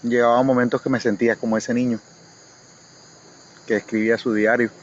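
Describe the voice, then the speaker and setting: A man from Cuba with a Cuban accent, recalling his own life haltingly and reflectively as a guest in a casual conversation. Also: mid-range voice, level tone. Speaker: guest reader. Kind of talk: personal recollection in casual conversation. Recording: dull lo-fi audio, faint noise, insects.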